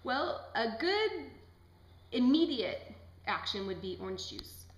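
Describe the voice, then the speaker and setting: A woman's voice: fairly high pitch, very animated, slow pace. A woman playing a helpful nurse who gives a reassuring step-by-step demonstration in a scripted skit. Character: helpful female nurse demonstrating a glucose check. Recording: echoey room.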